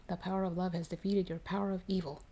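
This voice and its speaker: Woman reading as fierce, mellow-voiced defiant mother. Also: on the low side, little pitch movement, fairly quick, natural voice. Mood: fierce, commanding. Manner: emphatic, commanding.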